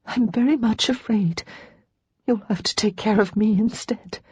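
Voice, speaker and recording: A woman narrator voicing Lara, a young widow who is ashamed, despairing and crying, in a husky voice that keeps dropping to a whisper. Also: a little high, measured pace, a little mellow, dry recording, pitched above the performer's own voice. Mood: despairing, tearful. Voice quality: husky, tearful, often whispering.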